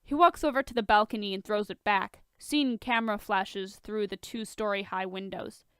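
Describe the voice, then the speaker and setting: A woman reading fan fiction aloud as an expressive, scripted audiobook narration. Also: mid-range voice, normal speed, smooth, no room sound, clean studio sound.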